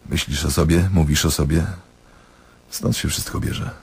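Dry dismissive doctor guy in a radio play, low gravel-husky voice, cool and detached. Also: fairly even intonation, normal speed, exaggerated voice. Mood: cool, knowing. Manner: detached, wry, matter-of-fact. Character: cool, dismissive, knowing male doctor probing his patient.

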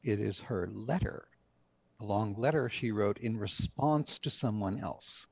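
An older man, a writer and director, deliberately explaining his work with emphasis in an interview over a video call. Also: on the low side, creaky, slightly rough, dry recording. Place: indoor room on a video call.

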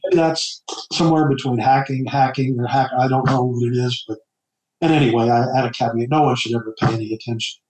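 A middle-aged man from New Jersey, an author guest on a casual podcast, talking in a halting way with many stammered repeats. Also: medium pitch, fairly even intonation, darkish tone. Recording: echoey room.

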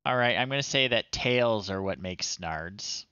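Middle-aged male game streamer, bright forceful tone, lowish voice, wry and unscripted, slightly lo-fi close mic. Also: expressive, a little slow, clean tone, dry recording. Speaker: retro game streamer, host. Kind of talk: casual live game-stream commentary, reading on-screen text aloud. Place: small indoor room, livestream setup.

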